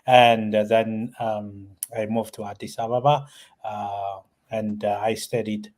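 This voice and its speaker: A man's voice: fairly low pitch, fairly even intonation, takes it slowly, darkish tone. Hesitant Ethiopian man, interview guest. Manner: hesitant, many fillers and restarts. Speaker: guest author and professor. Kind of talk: interview answers.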